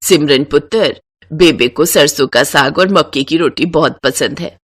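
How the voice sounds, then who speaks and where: A woman voicing a kindly middle-aged mother-in-law with a Punjabi accent and an affectionate, measured manner. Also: low voice, breathy edge, pitched below the performer's own voice. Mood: kindly instructing.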